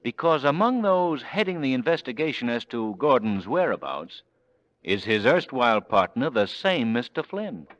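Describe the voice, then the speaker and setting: Suave amateur detective: sardonic, assured, animated medium-pitched delivery, dull vintage radio recording. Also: male voice, normal speed, dry recording. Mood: confident. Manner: smooth-talking, sardonic, assured. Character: suave, wry male amateur sleuth, scheming and confident. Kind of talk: old-time radio drama acting.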